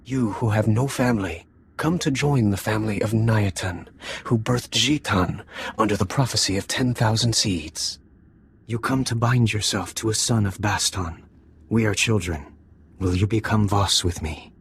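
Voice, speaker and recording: An actor playing a dutiful young alien bridegroom in a video game, speaking formally and with restraint. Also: male voice, fairly low pitch, moderate pace, creaky, slightly rough, full character voice. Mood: solemn, tender.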